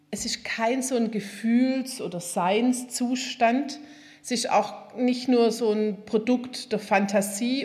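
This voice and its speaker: The woman at the front of a Sunday service, Bible open, pressing each point home to the congregation. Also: mid-range voice. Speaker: preacher. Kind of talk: sermon with Bible reading.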